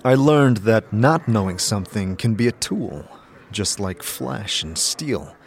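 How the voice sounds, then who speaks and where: A man voicing a stern githzerai warrior teacher who speaks in measured, solemn, formal aphorisms. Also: on the low side, animated.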